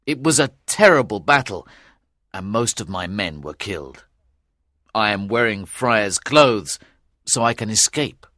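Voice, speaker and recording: A stern knight with a hard, forceful edge who speaks slowly and gives orders, as a male storyteller puts him on. The reading is dry and close. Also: fairly low pitch, expressive, no echo.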